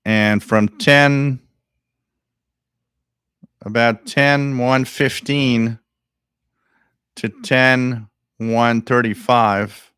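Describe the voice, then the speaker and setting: Male live-stream host, smooth and clear, dry close mic, rambling and musing, gets worked up over odd signals. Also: medium pitch, fairly bright tone, dry recording. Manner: musing, thinks aloud, repeats phrases. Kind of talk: casual live commentary.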